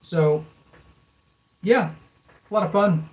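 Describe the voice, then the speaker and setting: A man's voice: high voice, slow, recorded from a distance, lo-fi sound. Rambling man on a casual livestream. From USA. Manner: rambling, filler-heavy, reads messages aloud. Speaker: livestream host reading chat. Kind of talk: casual live chat.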